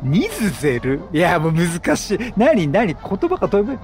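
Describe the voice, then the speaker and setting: High-voiced, animated man commentating on a game. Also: moderate pace, soft background music. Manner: chatty, exclamatory, reacts out loud. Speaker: gaming commentator.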